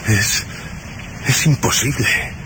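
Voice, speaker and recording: An actor playing an obsessed, greedy grail seeker in a suspense radio drama, speaking haltingly in awed disbelief. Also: male voice, medium pitch, background noise, lightly acted. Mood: stunned disbelief. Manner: halting, repeating words in disbelief.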